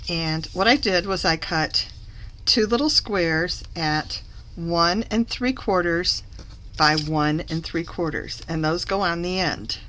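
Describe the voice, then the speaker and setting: A woman demonstrating a papercraft project in a live tutorial, her voice a little hoarse from a lingering cough. She talks slowly and chattily as she works, correcting herself as she goes, with a fairly low voice and steady noise under the recording. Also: rough recording. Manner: chatty, self-correcting, talks while working. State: recovering from a cough, slightly hoarse.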